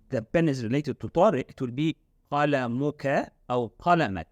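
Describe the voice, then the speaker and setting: Man, language tutor, animated pitch, dry recording. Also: no room sound. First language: Arabic. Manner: explains slowly and repeats words. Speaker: Modern Standard Arabic tutor. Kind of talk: casual language lesson.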